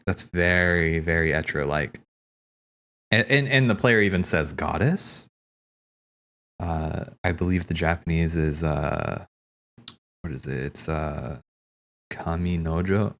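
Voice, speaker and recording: Deep-voiced man rambling casually about games. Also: fairly bright tone. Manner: rambling, musing, thinking aloud. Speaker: streamer hosting a cutscene watch party. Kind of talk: casual off-the-cuff game commentary.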